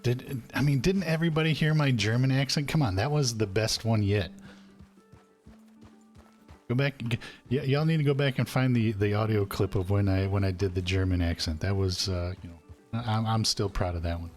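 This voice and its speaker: A low-pitched man with a folksy drawl, chatting with other players and viewers while he plays a western game. He talks casually and pokes fun at himself, with faint music playing behind him. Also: moderate pace. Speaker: streamer playing an old cowboy character. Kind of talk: roleplay and chatting with stream chat.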